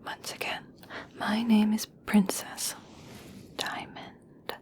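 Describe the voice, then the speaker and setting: Slow whispering elf princess, played by a woman. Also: mid-range voice, little pitch movement, natural voice. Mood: gracious, soothing. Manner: soft, slow, soothing, formal. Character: regal elf princess, gracious, coaxing, subtly conditional kindness. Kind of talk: whispered ASMR personal attention roleplay.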